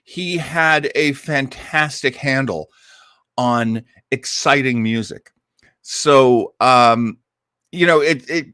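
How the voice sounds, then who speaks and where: A man hosts in a casual storytelling style, taking his time over each phrase. His pitch swings widely as he stretches and stresses key words for drama. The sound is dry and close. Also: fairly bright tone, no room sound. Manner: dramatic storyteller, emphatic, with drawn-out words and repetition for effect. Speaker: host of an old-time radio listening show. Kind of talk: casual live storytelling introduction to a radio classic.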